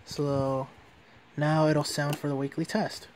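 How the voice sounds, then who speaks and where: A man's voice: on the high side, level tone, slow pace, noisy background. A man giving a casual, hands-on product review in an informal way, often repeating and rephrasing what he says. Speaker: gadget reviewer.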